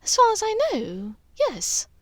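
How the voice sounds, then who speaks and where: A woman voicing a well-bred lady, genteel, smooth and slightly waspish, guarded and polite, in a storybook reading. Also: sits high, wildly swooping pitch, a little slow, a bit bright, dry recording, pitched above the performer's own voice, heavily acted.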